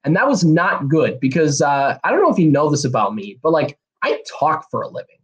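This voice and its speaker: A young man, hosting a solo podcast in a rambling, energetic way, a bit hoarse and nasal from a cold. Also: a little high, fairly quick. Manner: rambling, energetic, many fillers and restarts. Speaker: podcast host. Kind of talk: casual solo podcast talk.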